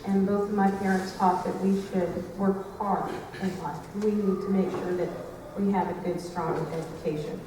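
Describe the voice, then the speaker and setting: Confident woman legislator giving a campaign speech, brisk and proud, echoey hall, mic way off. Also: mid-range voice, fairly even intonation, recorded from a distance, noisy background. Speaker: state legislator, candidate.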